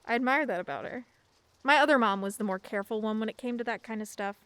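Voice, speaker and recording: A woman's voice: fairly high pitch, fast talker, fairly bright tone, dry recording, lightly acted. A young woman playing a shy, bookish teenage girl in a radio play, hesitant and dryly self-mocking. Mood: wry.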